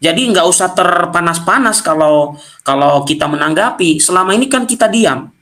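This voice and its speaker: A man with a Nias accent from Indonesia giving a combative apologetics talk straight to his viewers, slowing down to press his points. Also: sits high, lively intonation, sharp tone. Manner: combative, addresses viewers directly, slows to stress points. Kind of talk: preaching-style video lecture to viewers.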